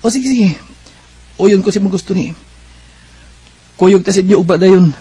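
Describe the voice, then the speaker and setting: A man's voice: high voice, normal speed, over music, lightly acted. A Filipino man with a Cebuano accent acting an earnest, devoted young suitor in a radio drama, emphatic in his delivery. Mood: eager.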